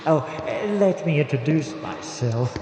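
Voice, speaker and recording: Old morgue attendant host: folksy, theatrical, very animated pitch, reverberant sound with music. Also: male voice, background noise. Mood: welcoming, rambling. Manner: halting, folksy, eerily courteous. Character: obliging, rambling old morgue attendant storyteller. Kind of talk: theatrical old-time radio storytelling. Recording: echoey hall reverb, music behind.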